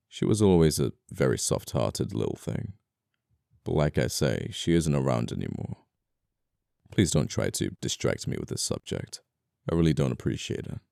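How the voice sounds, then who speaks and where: Casting: male actor for scoundrel murderer in ASMR roleplay, very deep and smooth, politely menacing, apologetic, flirtatious, quaint wording. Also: no room sound, studio quality, full character voice. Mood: wistful, controlled. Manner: soft-spoken politeness, condescending, old-fashioned phrasing. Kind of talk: intimate ASMR audio roleplay acting.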